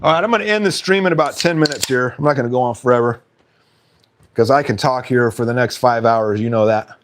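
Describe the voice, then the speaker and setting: A man hosting a casual live stream, chatting and reading out viewer comments with frequent laughs, his voice slightly hoarse. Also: medium pitch, fairly quick.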